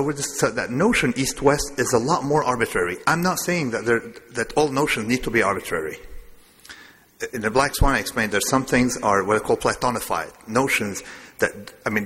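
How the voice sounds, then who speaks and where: Low-voiced man lecturing in a hall. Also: pushed and bright. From Lebanon. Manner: discursive, digressive, self-amused. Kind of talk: public lecture. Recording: long hall reverb.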